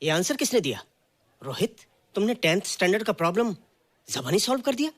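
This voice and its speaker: A man's voice: sits high, big pitch swings, brisk, fairly bright tone, a little hiss or hum. An actor playing an astonished male schoolteacher quizzing a class in Hindi film dialogue mixed with English. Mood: surprised.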